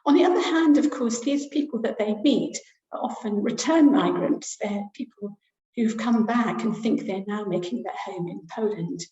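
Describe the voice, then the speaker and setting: A woman's voice: mid-range voice, normal speed, warm tone. Hesitant woman lecturing on a roomy webinar. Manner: hesitant, many fillers, thinking aloud. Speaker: university lecturer presenting research. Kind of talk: academic webinar talk. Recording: roomy, dull lo-fi webinar audio.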